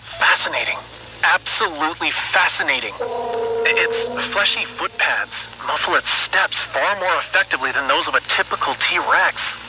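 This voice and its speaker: A man's voice: on the high side, expressive, normal speed. A man playing an earnest research scientist recording a secret log, speaking thoughtfully with some hesitation on a noisy recording. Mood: fascinated. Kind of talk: dramatic audio log narration.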